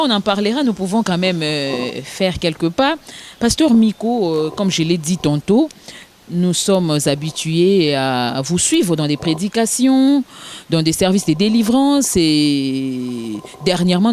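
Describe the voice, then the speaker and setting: A woman's voice: lowish voice, big pitch swings, measured pace, a little mellow, slight background noise. A woman interviewing a pastor, speaking with many fillers and restarts. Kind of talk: press interview.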